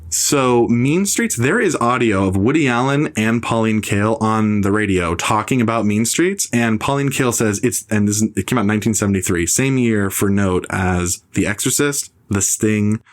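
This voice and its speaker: A young man hosting a podcast, ranting casually and sarcastically about film critics, jumping into high mocking impressions. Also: moderate pace, hardly any pauses. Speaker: podcast host. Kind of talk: casual opinionated film talk.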